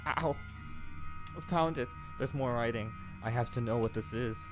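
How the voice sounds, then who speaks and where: A man's voice: medium pitch, moderate pace, music in the background, natural voice. A man voicing an anxious fourteen-year-old noble girl who talks in frantic, pleading questions. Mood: panicked.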